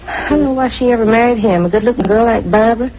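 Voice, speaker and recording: An actress playing a gossipy, world-wise waitress in an old-time radio crime drama, talking in a chatty, colloquial way. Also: female voice, fairly high pitch, fast talker, close to an everyday voice. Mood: disapproving, frank. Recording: dull, noisy vintage radio recording.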